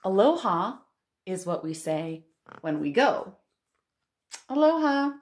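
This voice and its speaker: A woman in her thirties hosting a storytime for young children, reading aloud and chatting in an expressive, exclamatory way. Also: medium pitch, slow. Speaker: children's librarian and storytime host. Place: living room at home.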